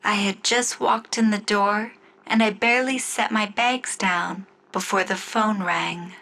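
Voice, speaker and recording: A woman narrating a scripted first-person story in the style of an old-time radio show, with deliberate storytelling phrasing. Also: medium pitch, steady tone, vocal fry, noisy background. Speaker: writer and narrator of an audio story.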